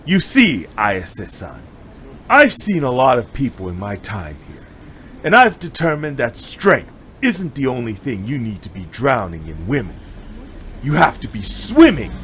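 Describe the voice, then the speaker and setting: A man's voice: medium pitch, fairly bright tone, slight background noise. A man playing a sly, money-minded hostess club tout and former fighter in an amateur anime-style drama, smug, teasing and given to philosophizing.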